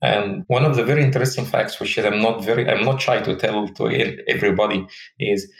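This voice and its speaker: A man's voice: fairly low pitch, brisk, roomy sound, poor audio. A man in his forties from Egypt with an Egyptian Arabic accent, telling his life story earnestly as an interview guest and searching for words in his second language. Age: 40s.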